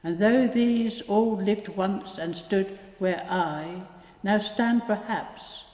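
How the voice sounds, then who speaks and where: A woman reciting rhyming poetry in a measured reading style. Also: medium pitch, darkish tone. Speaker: poetry reader. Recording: echoey hall with long reverb.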